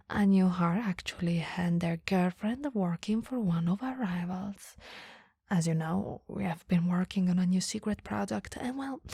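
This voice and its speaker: A woman playing a coy, flustered female boss in a flirty ASMR roleplay, speaking in soft, breathy whispers with a teasing, hesitant manner. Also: lowish voice, no echo, clean studio sound, exaggerated voice.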